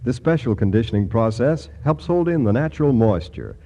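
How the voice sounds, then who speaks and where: Male announcer for old-time radio spot: mellow, lowish, expressive reading. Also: brisk. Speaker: commercial announcer. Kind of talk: scripted 1940s radio advertisement. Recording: slightly dull old radio recording.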